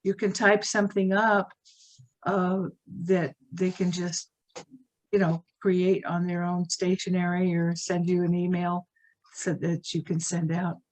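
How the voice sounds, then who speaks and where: A woman co-hosting a video call, giving practical advice while losing her voice and clearing her throat. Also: lowish voice, flat delivery, unhurried, darkish tone, rough recording. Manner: practical, many fillers. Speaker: expert co-host.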